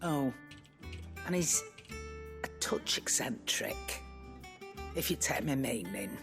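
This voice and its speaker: An actress playing a brusque, shrewd, teasing trader in a fantasy video game, blunt and wry, with a British accent. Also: female voice, very deep voice, expressive, slow, gravelly, over music, full character voice. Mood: wry, conceding. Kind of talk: dramatic video game dialogue.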